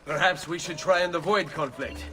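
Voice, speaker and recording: An actor playing a composed young Florentine nobleman assassin in a video game, speaking with an Italian accent in a measured, polite, restrained way. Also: on the high side, music in the background, close to an everyday voice. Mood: practical. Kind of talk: video game cutscene acting.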